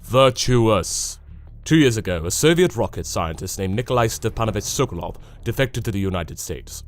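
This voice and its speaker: A man's voice: on the low side, expressive, moderate pace, close to an everyday voice. A man playing a dry, officious commander giving comic mission briefings in a wry, condescending way. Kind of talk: comedic mission briefing in a parody dub.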